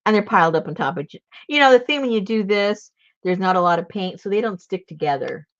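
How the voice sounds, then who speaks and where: A woman's voice: mid-range voice, expressive, a little fast, no room sound. A woman from Rhode Island chatting casually while she crafts, laughing often and telling stories. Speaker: craft livestream host. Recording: dull lo-fi sound.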